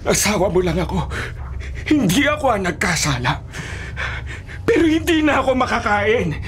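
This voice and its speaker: An actor playing a long-suffering, accusing husband in a Filipino radio drama, declaiming with bitter emotion. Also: male voice, high voice, sing-song, normal speed, a bit bright, a little hiss or hum. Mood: anguished.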